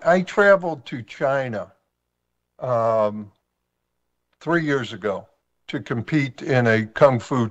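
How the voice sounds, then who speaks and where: A man hosting a radio talk show, speaking in a measured, thoughtful, conversational way. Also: mid-range voice, highs cut off. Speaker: radio host.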